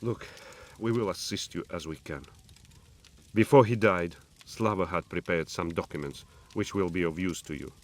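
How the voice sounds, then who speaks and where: An actor playing a bitter, weary resistance fighter in a game, speaking in a sardonic, guarded way. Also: male voice, sits low, normal speed, close to an everyday voice. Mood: weary, resigned. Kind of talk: scripted military game dialogue.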